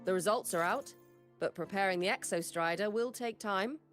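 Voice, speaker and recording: An actress playing a stern, world-weary teacher in a video game, speaking in a dry, measured, exasperated way. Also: female voice, medium pitch, lightly acted. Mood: measured, serious. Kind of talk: video game story voice acting. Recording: game music behind the voice. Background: game music.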